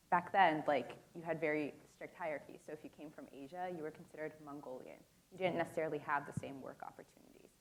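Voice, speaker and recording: Low-voiced young woman hosting a panel, chatty w lots of likes and ums. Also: rough recording. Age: young adult. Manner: casual, lots of "like" and "um" fillers. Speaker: panel moderator. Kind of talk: panel discussion. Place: conference room. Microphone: handheld microphone.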